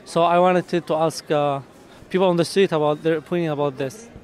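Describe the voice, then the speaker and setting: A man interviewing passers-by on a city street, talking rapidly and energetically in his intro and more slowly and carefully when asking questions in English. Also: on the high side, fairly even intonation, darkish tone. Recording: faint street noise outdoors. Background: traffic and street ambience.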